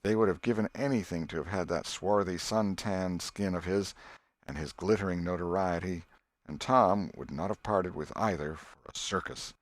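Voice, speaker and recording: A man's voice: sits low, normal speed, no echo. A man reading a literary story as an audiobook narrator.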